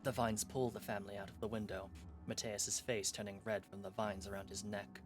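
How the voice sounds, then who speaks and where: A man streaming himself reading visual novel narration aloud, quiet and even, correcting himself now and then. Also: fairly low pitch, a little fast. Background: game music.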